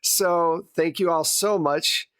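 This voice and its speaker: Male game master, slightly high voice, conversational host narrating tabletop roleplay. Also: fairly even intonation, takes it slowly, fairly bright tone, clean tone, no echo.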